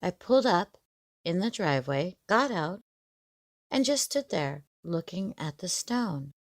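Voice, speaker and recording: A woman narrating a scripted story in the first person, in a measured, expressive storytelling manner. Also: lowish voice, no room sound, clean studio sound.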